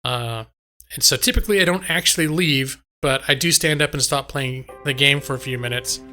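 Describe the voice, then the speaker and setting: A slightly hoarse man streaming a game live, chatting casually and ramblingly to his viewers. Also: medium pitch, a little fast, pushed and bright, soft background music. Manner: rambling, conversational, addresses chat directly. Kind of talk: casual chat with viewers while gaming. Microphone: close microphone on a boom arm.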